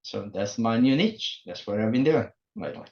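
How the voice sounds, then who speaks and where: A man in his forties from El Salvador, speaking English, telling his story as a podcast guest with many pauses and fillers. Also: fairly low pitch, lively intonation, a little mellow. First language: Spanish. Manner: halting, many fillers and restarts. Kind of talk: casual interview. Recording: muffled lo-fi remote audio.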